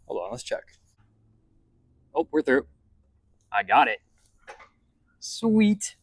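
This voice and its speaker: A man's voice: mid-range voice, very animated, takes it slowly, breathy edge, no echo, clean studio sound. A man casually narrating his hands-on car install as a vlog host, thinking aloud in a laid-back, slangy way. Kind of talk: casual narration while working on a vehicle. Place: in and around a pickup truck.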